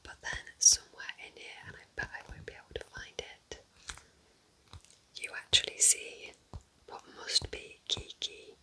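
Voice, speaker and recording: A woman whispering gently and unhurriedly in an ASMR style, rambling softly as she recommends things close to the microphone. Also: low-quality recording. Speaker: ASMR host playing a kind witch recommending books.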